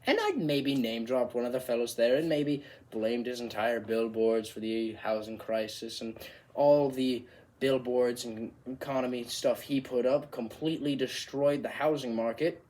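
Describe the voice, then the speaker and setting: A man performing a monologue as an animated, exasperated Irish comedian, telling a story with emphatic, theatrical delivery. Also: mid-range voice, moderate pace, close to an everyday voice, rough recording.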